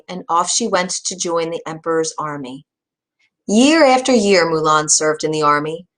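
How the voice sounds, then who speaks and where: A woman storyteller reading a scripted bedtime story for children, at a medium pitch. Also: fairly bright tone.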